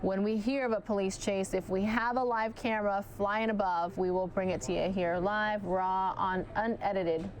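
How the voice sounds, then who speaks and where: A woman news anchor giving live commentary on a police chase, chatting directly with viewers, her voice starting to go hoarse. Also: mid-range voice, fairly even intonation, normal speed, hardly any pauses, low-quality recording. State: losing her voice.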